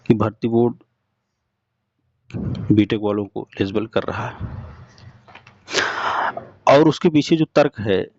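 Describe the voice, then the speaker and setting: A young man gives an informal explanatory update, speaking to listeners directly in halting, repetitive phrases. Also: fairly low pitch, darkish tone, dry recording. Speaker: exam update presenter. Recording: dull lo-fi sound.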